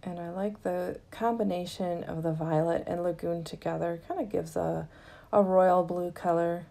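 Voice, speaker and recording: A woman giving a casual, gently explanatory tutorial narration in an unhurried way, as the host of a craft lesson. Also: fairly low pitch, steady tone, gravelly.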